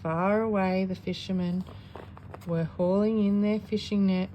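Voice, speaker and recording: Woman, animated bedtime-story reader, sing-song delivery with dramatic pauses, noticeable background noise. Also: mid-range voice, muffled recording. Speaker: parent reading a bedtime story. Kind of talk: expressive children's storybook read-aloud.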